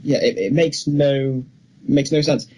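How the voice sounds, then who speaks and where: A man's voice: medium pitch, fairly even intonation, unhurried, a little mellow, a little hiss or hum. A young man co-hosting a film podcast, talking casually and giving his opinions freely. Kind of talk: casual discussion of film news.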